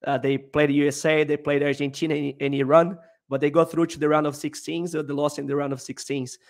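A man's voice: on the high side, flat delivery, quick pace. A man in his thirties hosting a futsal podcast, asking questions eagerly over a headset on a video call. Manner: enthusiastic questioner, some restarts. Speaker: podcast host.

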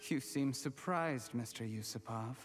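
A man voicing a bitter young scheming traitor in a video game, speaking in a measured, polite and menacing way. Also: mid-range voice, natural voice. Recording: game audio with music underneath.

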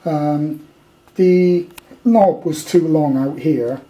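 A man's voice: on the high side, extremely slow, lo-fi sound. An older British man giving a casual, unscripted show-and-tell as a hobbyist, rambling along and grumbling bluntly. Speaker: amateur radio hobbyist presenting a kit. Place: indoors at a tabletop at home.

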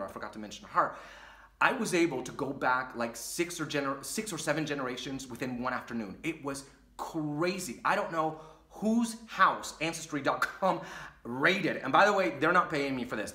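Thirtysomething guy from puerto rico vlogging, bright voice, echoey little room. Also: on the high side. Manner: animated, gestures a lot while talking. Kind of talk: casual storytelling to camera. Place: small dim room with curtain behind.

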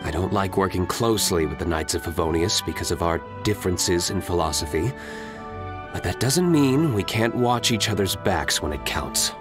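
A brooding young nobleman from a fantasy game. He speaks in a low register with expressive swings, composed, formal and self-assured, with music playing behind him. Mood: composed, serious. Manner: composed, formal, measured delivery. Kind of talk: video game character dialogue. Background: background music.

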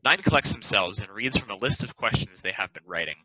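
A young man narrates stage directions from a script. His voice is fairly low but very crisp and forward, recorded on a dull, band-limited video call. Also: animated, quick pace, pushed and bright, no room sound. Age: young adult. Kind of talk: scripted narration, read aloud. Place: home room, over a group video call. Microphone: headset on video call.

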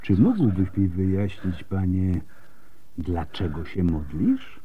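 A man's voice: deep voice, wildly swooping pitch, slow pace, highs cut off, slight background noise, heavily acted. An actor playing a shrewd, skeptical old male doctor in a radio drama. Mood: thoughtful, measured.

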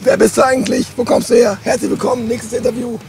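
A hoarse man in his thirties with a high, gravelly voice hosts a chummy, joking chat. He talks into a handheld mic inside a van, with faint music in the background. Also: steady tone, fast talker. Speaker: host. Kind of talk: casual festival interview.

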